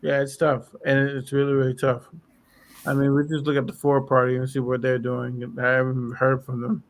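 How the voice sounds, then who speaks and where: A man in casual political chat on a podcast, losing his voice and clearing his throat as he talks in a rambling way. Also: mid-range voice, monotone, moderate pace, rough recording. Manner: rambling, lots of fillers. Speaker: podcast co-host.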